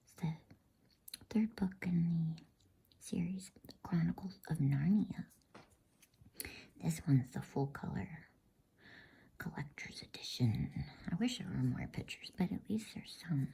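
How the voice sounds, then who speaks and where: A woman whispering softly in a gentle, unhurried, hushed ASMR style as she hosts and reads a bedtime story. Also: lowish voice, expressive. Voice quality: breathy, soft whisper. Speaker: host and reader of a bedtime story channel.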